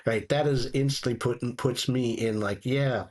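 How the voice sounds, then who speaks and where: A man chatting casually on a talk show panel, reflective and halting as he works out his thoughts. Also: mid-range voice, fairly even intonation, a little mellow. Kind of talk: casual chat.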